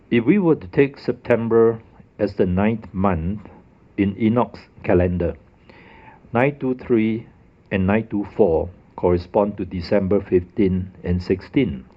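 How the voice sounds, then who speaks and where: A man's voice: lowish voice, slow pace, highs cut off. A man narrating a methodical, scripted explanation of dates and a calendar puzzle. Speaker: religious video presenter.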